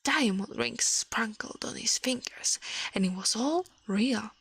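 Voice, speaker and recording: A woman voicing an elegant, self-assured shadow gentleman from a storybook, formal and boastful, in a soft breathy voice. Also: fairly high pitch, animated, unhurried, no room sound, exaggerated voice.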